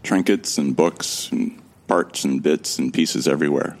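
An extremely deep-voiced amnesiac man narrates an audio drama in the first person. His voice crackles with gravelly fry. He is observant and friendly, with a wry, conversational delivery. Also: a little slow, darkish tone. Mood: observant, urgent.

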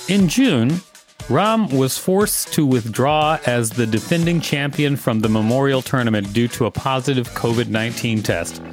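Male co-host, read-aloud narration with dry teasing asides, soft music bed underneath. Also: medium pitch, moderate pace, few pauses. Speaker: podcast co-host reading an article aloud.

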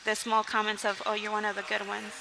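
Young woman from Mexico, interview guest, open and rambling, steady mid-range pitch, noisy recording. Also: quick pace, vocal fry. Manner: candid, rambling, frequent "like" and "um", laughs between sentences. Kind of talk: casual interview storytelling.

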